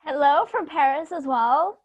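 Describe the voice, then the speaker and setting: A young woman from Bulgaria introducing herself on a video call, earnest and warm. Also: high-pitched, lively intonation, unhurried, dry recording, lo-fi sound. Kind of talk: casual self-introduction.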